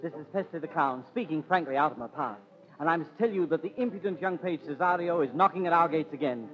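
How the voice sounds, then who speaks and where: A man's voice: on the high side, fast, over music, natural voice. A man playing a world-weary court jester, narrating in a wry, confiding way in 1930s radio drama style. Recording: dull, echoing 1930s radio recording.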